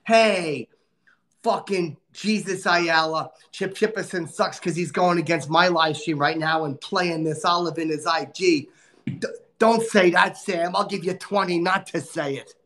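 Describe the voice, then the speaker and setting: A man playing a crude, boastful, short-tempered male comic, cursing and griping as he hosts a livestream in a nasal, gravelly put-on voice. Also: sits high, a little slow, a bit bright. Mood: crude ranting. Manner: profane, insulting, exasperated rants. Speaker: livestream host. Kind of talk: livestream comedy character rant.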